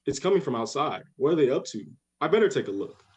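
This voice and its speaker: A man's voice: medium pitch, big pitch swings, fast talker, darkish tone, husky, lo-fi sound. A man playing an alarmed, exasperated husband and father in a radio horror drama, exclaiming and demanding. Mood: puzzled, uneasy.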